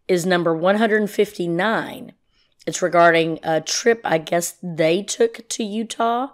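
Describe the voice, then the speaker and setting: Slow, low-voiced woman commentator. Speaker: host. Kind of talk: informal commentary.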